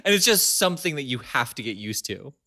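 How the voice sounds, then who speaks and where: A man's voice: mid-range voice, fairly quick, no echo, studio quality. A man co-hosting a podcast in casual chat, laughing often as he talks. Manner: laughs often, chatty and animated. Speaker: podcast co-host.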